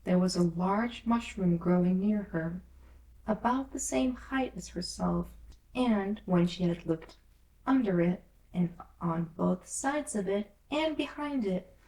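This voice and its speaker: A woman's voice: mid-range voice, slow, mellow. A woman reading a children's classic aloud as scripted storybook narration. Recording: roomy echo, distant from mic.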